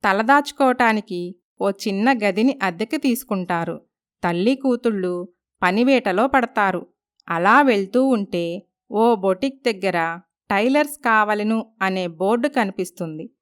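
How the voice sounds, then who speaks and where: A woman's voice: mid-range voice, animated, measured pace, clear, resonant tone. A woman reading scripted narration for a moral story.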